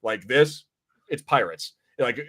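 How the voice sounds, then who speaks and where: A man's voice: medium pitch, animated, slow, bright, forceful tone. A man hosting a casual review podcast, rambling informally and swearing freely as he chats.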